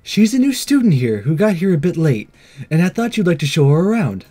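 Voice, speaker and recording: A man plays a courteous schoolmistress from a visual novel. The voice is lifted high and gives instructions in a businesslike way, with music underneath. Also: sing-song, a little fast, warm tone. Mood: polite, composed. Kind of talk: visual novel character read aloud.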